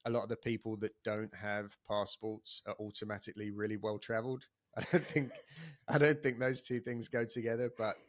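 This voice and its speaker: A man's voice: fairly low pitch, level tone, fairly quick, dry recording, clean studio sound. A man hosting a podcast, chatty and enthusiastic, talking casually with many restarts. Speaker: podcast host.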